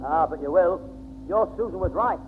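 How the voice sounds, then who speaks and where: An actor playing a grumpy, gloating, bullying neighbour in a 1960s radio comedy, blustering and sarcastic. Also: male voice, high-pitched, moderate pace, lightly acted. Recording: tinny, noisy old radio broadcast.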